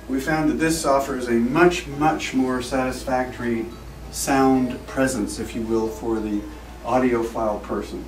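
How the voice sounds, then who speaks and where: A man giving an informal, technical product presentation as a company representative, explaining things in a measured, slightly formal way. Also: mid-range voice, a little mellow. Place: echoey indoor trade show demo room. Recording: roomy, distant mic, music in background.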